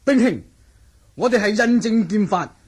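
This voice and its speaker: Forceful martial-arts man, Hong Kong Cantonese radio. Husky, emphatic, widely swinging intonation. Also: sits high, lively intonation, moderate pace, exaggerated voice. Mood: formal, emphatic. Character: formal, forceful martial-arts fighter of a sworn brotherhood, male. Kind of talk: 1980 radio drama acting.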